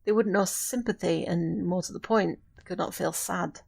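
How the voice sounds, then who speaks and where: A woman's voice: fairly low pitch, creaky, darkish tone, husky. A woman playing an earnest, grieving but insistent witch who mentors a novice in an audio drama, speaking formally and instructively. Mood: solemn, explaining. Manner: measured, instructive, formal phrasing. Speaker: voice actor in an amateur audio drama.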